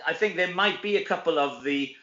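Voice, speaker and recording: Bright-voiced Welsh man in a roomy space. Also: fairly high pitch, fairly quick. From Wales. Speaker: interview guest, stage actor. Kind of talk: casual interview chat. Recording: roomy echo, dull lo-fi audio.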